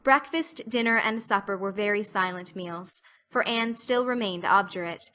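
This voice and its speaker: A woman's voice: mid-range voice, moderate pace, bright, forceful tone. A woman reading scripted audiobook narration of a story as its narrator.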